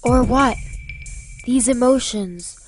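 Woman acting a worried sensitive heroine thinking out loud, slow and highish, music behind. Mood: troubled wonder. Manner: slow, musing to herself. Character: troubled empathic young heroine sensing others' emotions. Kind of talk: dramatic fan audio drama monologue.

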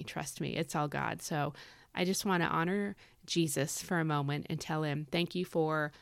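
A woman's voice: low-pitched, gravelly, no room sound, clean studio sound. A woman hosting a devotional podcast, talking in a casual, earnest way with frequent fillers; her voice is scratchy and tired from overuse. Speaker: devotional podcast host and author.

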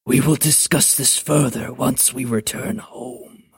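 A woman puts on an ancient male dragon, speaking far below her own voice in a gravelly, breathy growl. He talks slowly and with stern command, like a parent laying down the law. The storybook sound is dry and close. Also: steady tone, no room sound, full character voice. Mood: solemn. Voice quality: deep gravelly whisper. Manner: slow, commanding, measured. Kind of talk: audiobook character voice.

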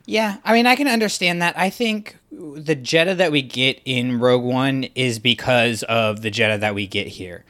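Male podcast co-host, bright tone, casual rambling chat. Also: medium pitch, moderate pace, few pauses. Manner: rambling, lots of fillers and restarts.

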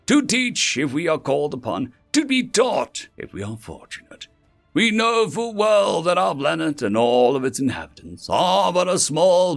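A man puts on a dignified statesman in an audio story. He orates in a formal, measured way with hopeful gravity, in a slightly high, creaky voice over music. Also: a bit bright, exaggerated voice.

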